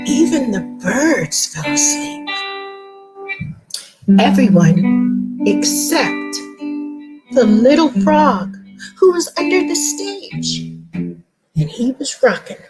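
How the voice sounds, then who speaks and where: Animated, high-pitched woman storyteller. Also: slow pace, pauses often, over loud music, poor audio. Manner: dramatic, repeats words for effect. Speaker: children's storyteller.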